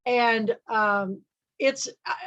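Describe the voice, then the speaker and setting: A woman hosting a film club chat, talking loudly and rambling, trailing off with fillers. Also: on the high side, extremely slow. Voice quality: loud voice. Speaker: book and film club host. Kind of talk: casual group chat.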